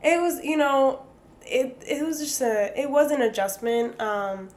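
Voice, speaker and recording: A woman's voice: high voice, expressive, measured pace, darkish tone. A young Greek woman, chatting as an interview guest and dropping in Greek words. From United States. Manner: chatty, laughs often, mixes in Greek words. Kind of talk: casual interview chat.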